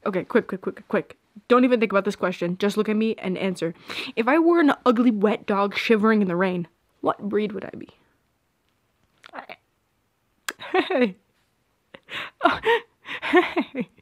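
A woman's voice: a little high, dry recording, clean studio sound. A young woman playing a shy, teasing friend with a secret crush in an intimate ASMR roleplay, playful and a little hesitant.

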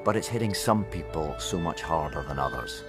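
A man delivers a sincere scripted appeal. His voice is very low, with music clearly underneath. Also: expressive, brisk. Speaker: charity appeal voice-over.

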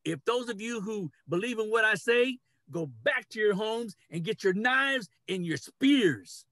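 A man's voice: sits high, lively intonation, measured pace, a bit bright, no room sound, clean studio sound. An older man in a dramatic play reading, playing a domineering human leader who speaks in commanding declarations. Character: domineering man who proclaims human rule over animals. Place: cluttered home room, video call. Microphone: computer mic on video call.

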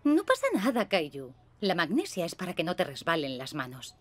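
A woman's voice: on the low side, huge pitch swings, moderate pace, a bit bright, full character voice. An actress playing a caring, patient mother of a small boy in a cartoon, soothing and coaxing, with a Castilian Spanish accent. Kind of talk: animated dub dialogue. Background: music.

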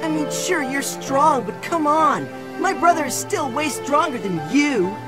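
A woman's voice: high voice, lively intonation, unhurried, music in the background. A woman voicing an eager little boy, an adoring younger brother, whiny and pleading, in an anime dub. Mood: insistent.

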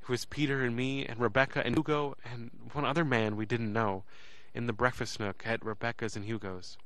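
An actor playing an earnest, emotional young man, narrating an audio drama in the first person with deliberate, dramatic pauses. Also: medium pitch, steady tone, fairly bright tone, dry recording, lightly acted. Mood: earnest, relieved. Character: earnest, emotional young man telling his escape story.

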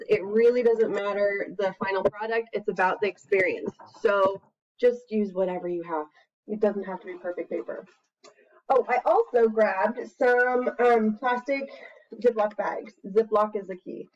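A woman in her thirties with an expressive, singsong manner, talking to young children as an educator. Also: medium pitch. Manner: expressive, singsong, encouraging young listeners to join in. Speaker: children's educator and story reader. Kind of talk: animated read-aloud and casual craft demonstration for young children. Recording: slightly dull webcam recording.

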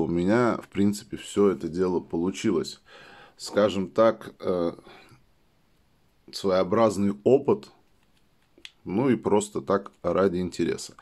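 Guy with a real low voice, kinda hoarse from a cold, casual table demo w lots of filler. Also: a little mellow. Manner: chatty, keeps saying "let's say" as filler. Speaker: product reviewer.

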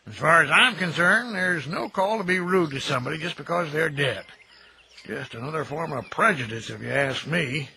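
A man's voice: fairly high pitch, expressive, normal speed, creaky, pushed and bright, exaggerated voice. An actor playing a folksy, kindly American graveyard caretaker in his fifties, plain-spoken and neighborly, in an audio drama. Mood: earnest, warm. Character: folksy, kindly middle-aged graveyard caretaker who chats with the dead. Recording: noisy outdoor ambience with birdsong.

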